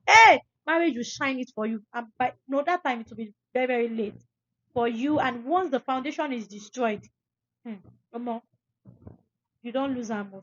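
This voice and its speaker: A woman's voice: high voice, sharp tone, dry recording, highs cut off. A young woman podcast host, giving emphatic, preachy advice to listeners and repeating phrases for stress. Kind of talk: advice talk, addressing listeners.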